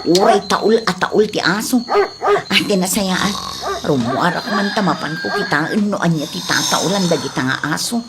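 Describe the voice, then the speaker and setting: A woman's voice: fairly low pitch, animated, moderate pace, heavy background noise. A woman playing a nagging, exasperated wife in a comedy audio drama. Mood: annoyed.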